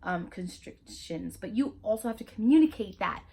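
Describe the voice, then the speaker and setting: A young woman with a Spanish accent chats straight to camera in a loose, frank way. She curses easily, fills pauses with "um" and "like," and restarts her sentences as she goes. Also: mid-range voice, expressive, creaky, mellow, breathy edge. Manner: frank and chatty, swears, many fillers and restarts. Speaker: vlogger. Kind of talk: casual educational monologue.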